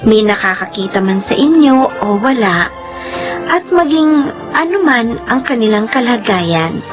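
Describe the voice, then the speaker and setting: Slow, earnest young woman, high-voiced. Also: expressive. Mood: earnest, reflective. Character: Jamie, earnest young woman telling her life story. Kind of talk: radio drama narration. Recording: muffled band-limited radio drama with music. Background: dramatic background music.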